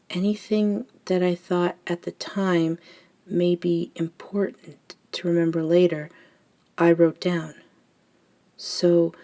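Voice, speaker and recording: A woman acting as an ancient female vampire mentor in an audio roleplay. She speaks low and very unhurriedly, gentle and reflective. Her soft tone has a creaky edge and often sinks toward a whisper. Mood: gentle, reminiscing. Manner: soft-spoken, breathy near-whispers, measured pauses. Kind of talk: intimate ASMR roleplay monologue.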